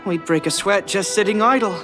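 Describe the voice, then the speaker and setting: A woman's voice: mid-range voice, brisk, over music, lightly acted. A woman voicing a deferential young soldier in a video game. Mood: amused. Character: deferential young soldier serving under a guard captain.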